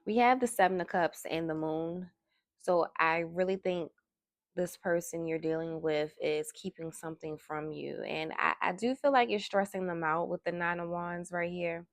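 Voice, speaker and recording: Soft-spoken woman with a gravelly voice. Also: on the low side, dry recording, studio quality. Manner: soft-spoken, slow and musing. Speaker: tarot reader. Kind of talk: soft-spoken tarot reading. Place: indoors at a table.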